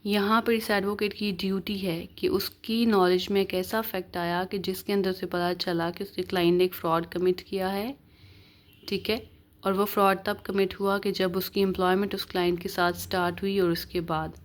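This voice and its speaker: A woman's voice: medium pitch, level tone, moderate pace. A woman with a Pakistani accent giving an explanatory lecture as a law teacher, in a didactic way with short check-in tags. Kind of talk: explanatory lecture, reading statutes aloud and explaining them.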